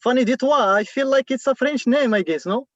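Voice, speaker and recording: Moroccan-born male doctoral student, high-pitched, halting and repetitive, calling in from a car on a dull, dry line. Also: dry recording. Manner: halting, repeats words many times. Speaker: doctoral student asking a question. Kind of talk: online Q&A question. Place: inside a car.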